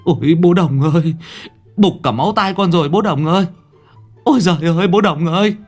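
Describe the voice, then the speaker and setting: A deafened village workman whimpering and pleading in a quick, high whine, as a male storyteller puts him on. Soft music runs faintly beneath him. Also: breathy edge.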